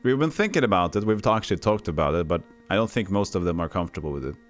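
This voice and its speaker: A man from Texas with a lowish voice and no regional accent, streaming an old role-playing game. He talks casually to his viewers, reads the game's text aloud and jumps between the game and the chat. Faint game music plays underneath. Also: lively intonation, fast. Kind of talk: casual gameplay commentary.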